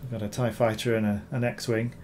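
A man, hoarse from recovering from illness, talking through a screen-recorded software tutorial, rambling with many ums and repeated words. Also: medium pitch, little pitch movement, brisk, slight background noise. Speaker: bas-relief sculptor reviewing software.

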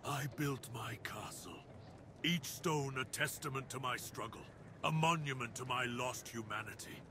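A man playing a brooding, cursed dire wolf master in an ASMR roleplay, speaking in a solemn, deliberate, theatrical way with formal wording. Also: mid-range voice, noisy background, lightly acted. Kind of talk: ASMR roleplay, dramatic monologue.

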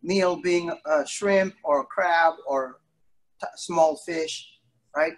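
Slow-paced middle-aged man, playful kids' storyteller. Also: fairly high pitch, poor audio. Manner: animated, teasing, with exaggerated surprise and drawn-out words. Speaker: martial arts instructor telling stories to a kids' class. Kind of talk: playful interactive storytelling to young children. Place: indoor studio, on a video call. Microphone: device mic at a short distance on a video call. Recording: video call with background noise and clicks.

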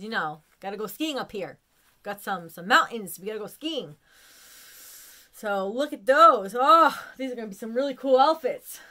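A woman in her forties with an American accent, chatting casually and excitedly to camera in a room at home. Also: fairly high pitch. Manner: excitable, gushing. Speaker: vlogger showing a haul of collectibles. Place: small room at home, indoors.

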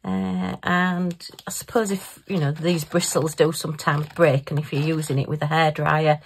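A woman's voice: sits low, level tone, normal speed, creaky, a little hiss or hum. A woman chatting casually in a crafting vlog, giggly and self-mocking, recorded at her home craft table. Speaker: crafting vlogger.

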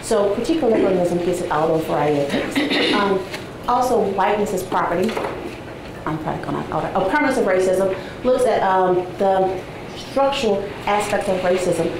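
A woman in her forties giving an academic talk to a seated audience, soft-spoken and conversational with frequent ums, heard from a distant camera in a large seminar room. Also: mid-range voice, animated, fairly quick. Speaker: guest lecturer. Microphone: far camera, no visible mic. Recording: echoey room, noisy background.

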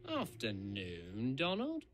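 A man's voice: mid-range voice, huge pitch swings, slow pace, fairly bright tone, exaggerated voice. A man voicing a pompous, self-assured red steam engine playing detective in a cartoon. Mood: awkwardly casual.

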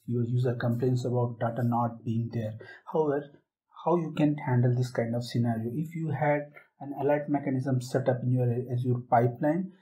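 A man presenting a software tutorial, explaining a screen-share demo step by step with occasional fillers. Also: mid-range voice, rough recording.

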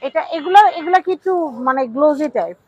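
A woman's voice: high voice, sing-song, quick pace, darkish tone, dry recording. A woman with a Bangladeshi accent hosting a casual product showcase, chatting with a seller, asking short questions and repeating what she hears. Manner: repeats prices and phrases, asks short questions. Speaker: video host presenting products.

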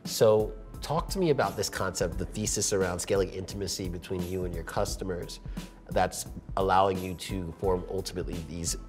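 Deep-voiced enthusiastic male interview host. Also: a little fast, over music. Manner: enthusiastic, affirming with frequent yeahs. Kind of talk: business interview hosting. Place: open-plan office, indoors.

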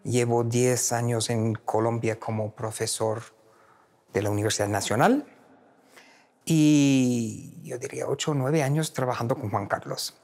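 A man's voice: mid-range voice, measured pace. Older American man speaking Spanish. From United States. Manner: relaxed, searching for words. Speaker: pianist. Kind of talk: interview. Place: seated in a concert hall. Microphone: lapel.

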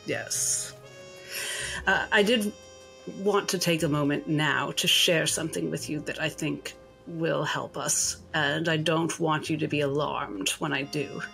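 A shrewd lady doctor, acted by a woman in a tabletop game, speaks in a measured, arch way. She is genteel but commanding, with music behind her voice. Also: low-pitched, creaky, a bit bright, full character voice, low-quality recording. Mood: confiding. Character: shrewd, genteel female doctor with secret talents.

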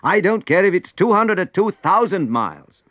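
A man voicing a determined, worried prince and father, forceful then pleading, in a storybook reading. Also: lowish voice, measured pace, no echo, lo-fi sound, close to an everyday voice. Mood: defiant.

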